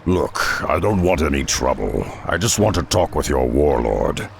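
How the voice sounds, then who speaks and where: A man voicing a gruff, steady half-orc warrior hero in a video game, terse and resolute, with a gravelly voice. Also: normal speed, slight background noise, full character voice. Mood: calm, assured. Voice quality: very deep, gravelly. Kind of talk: video game cutscene acting.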